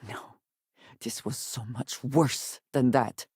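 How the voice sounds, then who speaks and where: An actress playing a guarded, solemn woman companion in a space adventure video game, speaking in formal, measured phrases. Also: a bass voice, fairly even intonation, darkish tone, slightly rough, dry recording, clean studio sound, heavily acted. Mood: serious, measured. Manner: formal, measured speech without contractions. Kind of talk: scripted video game voice acting.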